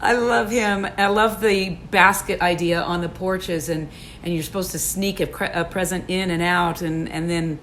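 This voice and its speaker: Enthusiastic lower-voiced woman co-host. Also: fairly even intonation, moderate pace, fairly bright tone, a little hiss or hum. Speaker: author commentator, co-host. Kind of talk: casual chat commentary.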